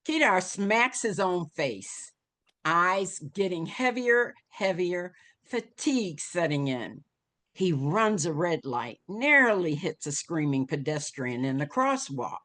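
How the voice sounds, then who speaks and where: Slow, expressive low-voiced woman narrator. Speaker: stage directions reader. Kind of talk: dramatic scripted narration.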